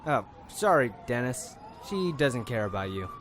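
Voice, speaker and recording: A man's voice: mid-range voice, sing-song, moderate pace, a bit bright, a little hiss or hum. A man playing a brave, self-sacrificing policeman in an audio crime drama. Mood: relieved.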